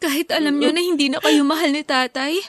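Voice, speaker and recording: An actress with a Filipino accent playing an earnest, worried young daughter who speaks in a pleading, respectful way in a radio drama. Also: female voice, high-pitched, fairly even intonation, a little fast, dry recording, clean studio sound, natural voice. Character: worried young daughter, earnest, questioning her distraught mother.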